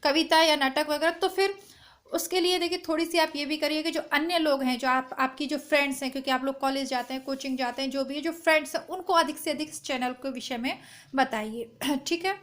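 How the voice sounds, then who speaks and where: A woman teacher with a strained, hoarse voice, chatting to her students through an exam syllabus, recorded at home without a proper mic. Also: sits high, fairly even intonation, brisk, fairly bright tone. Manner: chatty, reassuring, addresses students directly. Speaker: Hindi exam-prep teacher. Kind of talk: informal lesson to camera. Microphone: no proper mic, phone or device built-in.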